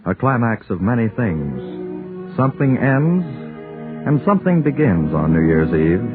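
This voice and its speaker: A man announcing in a scripted 1940s radio style with dramatic, measured phrasing. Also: lowish voice, big pitch swings. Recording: dull 1940s radio broadcast with music. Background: music.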